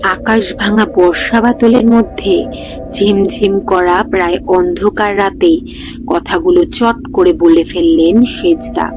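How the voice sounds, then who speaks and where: A woman host narrating a ghost story in a steady, unhurried reading voice. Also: lowish voice, rough recording. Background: background music.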